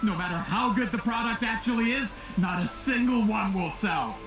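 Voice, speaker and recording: An actor playing a greedy, scheming merchant villain in a video game, pompous, theatrical and disdainful. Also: male voice, high voice, normal speed, echoey room, recorded from a distance, over music. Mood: bitter.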